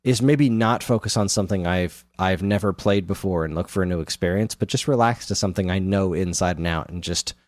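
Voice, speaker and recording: A man's voice: low-pitched, a little fast, hardly any pauses, a little mellow, no echo, studio quality. A man co-hosting a podcast, chatting casually about video games. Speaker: podcast host. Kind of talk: casual gaming podcast chat.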